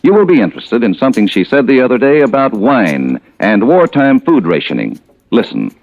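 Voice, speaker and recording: A man's voice: medium pitch, big pitch swings, fairly quick, a little mellow, no room sound. An American man announces a 1940s radio advertisement with hugely swooping intonation. He is polished and emphatic, on a muffled, dry recording. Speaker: announcer and sponsor pitchman.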